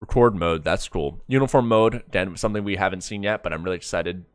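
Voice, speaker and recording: Low, clear-voiced man reacting on stream. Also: no echo. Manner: halting, repeats words mid-thought. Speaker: streamer reviewing a comic. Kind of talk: casual live reaction commentary.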